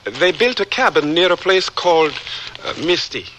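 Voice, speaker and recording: Earnest young man, lively, noisy recording. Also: high-pitched, normal speed. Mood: eager, storytelling. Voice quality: hesitant, stammering delivery. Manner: earnest, formal, courteous. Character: eager, polite, educated young Liberian man newly arrived. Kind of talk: old-time radio drama acting. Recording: noisy radio drama recording.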